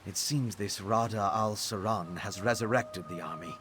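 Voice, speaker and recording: A man's voice: fairly low pitch, moderate pace, crowd noise, natural voice. A man playing an earnest, inquisitive scholarly ally in a fantasy video game, laying out quest details. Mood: intent, urgent.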